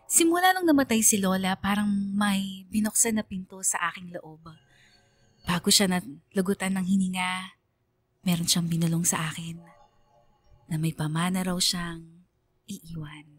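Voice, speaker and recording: A woman's voice: on the low side, a little slow, long pauses, no room sound. A woman radio DJ delivering dramatic scripted story narration. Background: music.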